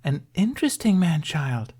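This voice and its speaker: Guy doing a smooth high mermaid girl for an audiobook, serene n curious, talks all formal. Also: sing-song, moderate pace, darkish tone. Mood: curious, delighted. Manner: formal, stilted old-fashioned phrasing. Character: serene otherworldly young female mermaid, curious and inviting.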